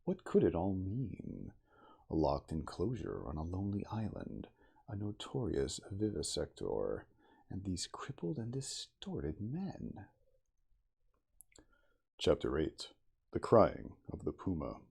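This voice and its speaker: A man giving a theatrical, deliberate ASMR storybook reading as the narrator of a classic novel. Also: low voice, huge pitch swings, creaky, a little mellow, husky.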